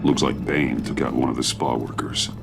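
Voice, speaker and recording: A man playing a grim, brooding vigilante in a video game, gravelly-voiced, terse and stern. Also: a bass voice, steady tone, normal speed, loud music behind the voice, exaggerated voice. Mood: grim, focused. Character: grim masked adult male vigilante hero, brooding and commanding.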